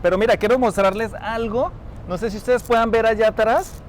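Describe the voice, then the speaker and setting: Vlog host, male, high voice, chatty street interviewer, faint traffic behind. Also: steady tone, brisk. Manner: chatty, laughs often, friendly questions. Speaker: vlogger host. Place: outdoors on a city street. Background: faint traffic noise.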